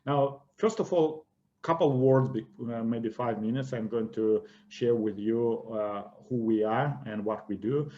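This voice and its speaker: A man's voice: mid-range voice, measured pace, warm tone. Russian-accented man teaching stock investing. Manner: explanatory, repeats "I presume" and "okay". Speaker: investment course presenter. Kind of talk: webinar lecture over slides. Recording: slightly dull lo-fi webinar audio.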